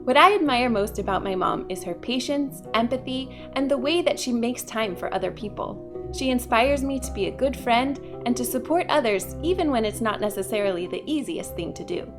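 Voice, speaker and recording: A woman presenter reading a prepared script about inspiring women in a steady manner. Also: on the high side, moderate pace. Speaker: library staff presenter. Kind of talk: scripted narration. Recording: music playing underneath.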